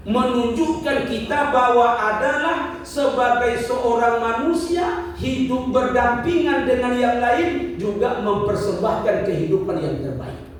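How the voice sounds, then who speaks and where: Man, 50s, preacher, rhetorical questions, gentle humor, distant mic, very echoey hall, faint room noise. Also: very high-pitched, measured pace. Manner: rhetorical questions, repeats key points, light jokes with the audience. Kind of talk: religious lecture.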